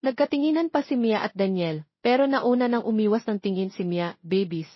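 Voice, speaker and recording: A woman's voice: on the high side, animated, brisk, dry recording, lo-fi sound. A woman narrating a scripted romance story as an audiobook reader.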